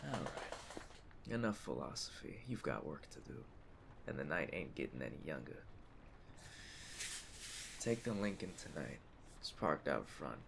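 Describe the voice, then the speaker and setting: Man playing a deep, gravelly mafia don. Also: very animated, exaggerated voice. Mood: firm, commanding. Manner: measured, authoritative, streetwise. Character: calm, commanding aging mafia boss giving orders. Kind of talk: ASMR roleplay. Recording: clear ambient storm noise behind. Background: storm ambience.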